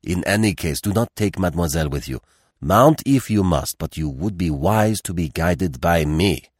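A man's voice: low-pitched, expressive, normal speed, no room sound, studio quality. A man voicing a fussy, dignified Belgian detective with a French accent and a precise, theatrical, philosophical manner, in a mystery audiobook. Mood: cautioning.

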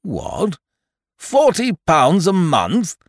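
A man reading an audiobook voices a fussy, stingy elderly duke, slow and gloomy in manner. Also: high voice, huge pitch swings, dry recording, studio quality, voice pushed up, full character voice. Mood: disapproving. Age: older. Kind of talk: audiobook character voice.

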